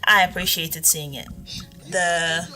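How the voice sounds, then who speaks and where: A woman's voice: on the low side, fairly even intonation, slow, sharp tone, soft background music. A woman from Nigeria, a guest film reviewer talking casually and enthusiastically, rephrasing as she thinks aloud.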